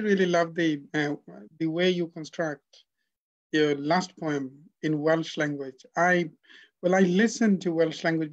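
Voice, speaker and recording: Man, 40s, host, effusive praise, lots of fillers, muffled call audio. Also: fairly high pitch. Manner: effusive, halting with many fillers. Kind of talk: interview hosting. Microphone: video call.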